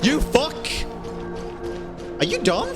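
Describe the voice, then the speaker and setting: Young male game streamer: casual, exclamatory, profane, lively pitch swings, music behind. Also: slow. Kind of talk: casual gaming reaction commentary.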